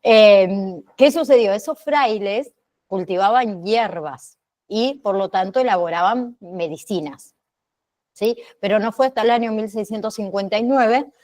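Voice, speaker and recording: A woman's voice: medium pitch, measured pace, a little mellow. A woman lecturing as a course teacher, often tagging her phrases with short questioning check-ins. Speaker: teacher of an online pharmacy assistant course. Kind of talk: online lecture, explaining history to students.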